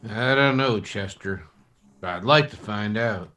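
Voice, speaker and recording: A man playing a gruff, shrewd town doctor in an old-time radio Western. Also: lowish voice, measured pace, bright, forceful tone. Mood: wary.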